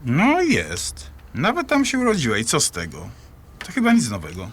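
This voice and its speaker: A man's voice: fairly high pitch, huge pitch swings, moderate pace, fairly bright tone, husky, heavily acted. An actor playing an evasive, defensive man in an audio drama, protesting indignantly and hedging. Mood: puzzled, indignant. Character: evasive, defensive man cornered by his partner.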